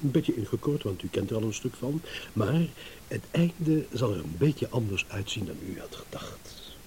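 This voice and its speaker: An actor playing a smug, shrewd, eloquent gentleman in a radio drama, with mock courtesy. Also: lowish voice, lively intonation, moderate pace. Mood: smooth, teasing. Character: smug, shrewd gentleman savouring a battle of wits. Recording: hissy old radio play recording.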